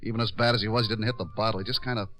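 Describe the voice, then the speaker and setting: An American actor playing an earnest writer-director in a 1950s radio drama, pleading and insistent with a halting delivery. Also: male voice, lowish voice, steady tone, sharp tone. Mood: agitated, defensive. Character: earnest, pleading male writer-director, loyally defending his star.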